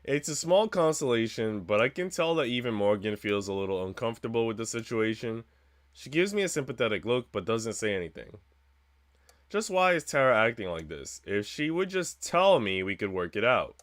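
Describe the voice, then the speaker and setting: A man's voice: medium pitch, big pitch swings, normal speed, no room sound, studio quality. A man reading a visual novel aloud as a streamer, narrating the script and dropping in slangy, joking asides.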